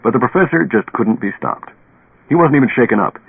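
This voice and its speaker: Man acting as husky streetwise barroom storyteller, old radio sound. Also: medium pitch, lively intonation, brisk, phone-quality sound. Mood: wry, exasperated. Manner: colloquial, dramatic. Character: streetwise male barroom storyteller, sardonic and exasperated. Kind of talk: 1940s-50s radio drama narration. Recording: band-limited old radio recording, echoey.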